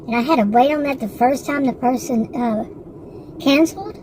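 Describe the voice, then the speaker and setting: A woman's voice: sits high, moderate pace, noisy background. A woman in her sixties in a rambling, frustrated casual monologue, with many restarts and asides. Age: 60s. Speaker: vlogger.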